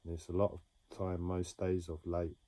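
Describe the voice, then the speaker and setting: A man talking slowly and haltingly about his own experiences in a reflective monologue, with many pauses and restarts. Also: deep voice, monotone, warm tone, no echo. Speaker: livestreamer sharing a personal story. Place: home.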